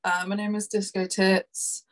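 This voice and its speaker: A woman's voice: mid-range voice, flat delivery, measured pace. A woman in her thirties from Brazil with a London accent, chatting casually as a podcast guest, laughing often and talking in a rambling, candid way. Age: 30s. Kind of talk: casual chat.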